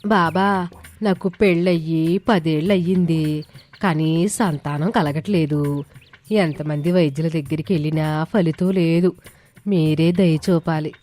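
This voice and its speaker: A woman voicing earnest, trusting village women in an animated moral story. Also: deep voice, a little slow. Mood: pleading. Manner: earnest, deferential. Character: troubled, trusting village women seeking a holy man's help.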